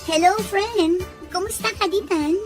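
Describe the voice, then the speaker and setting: A woman playing an eager young job seeker in a drama, with a husky voice. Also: very high-pitched, unhurried, music in the background. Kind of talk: Ilocano drama acting.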